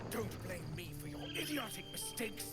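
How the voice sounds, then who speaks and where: A man's voice: high voice, expressive, normal speed. A man playing an indignant, hot-tempered guard leader in a fantasy video game, scolding and commanding over music. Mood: angry, scolding. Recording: loud game music behind dialogue.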